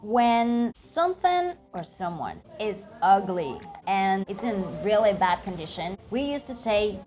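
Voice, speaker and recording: A woman from Cuba, a native Spanish speaker with a Cuban accent, hosting an upbeat slang lesson and repeating phrases slowly and clearly. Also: medium pitch, sing-song, a little mellow, lo-fi sound, faint music behind. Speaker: language teacher, channel host.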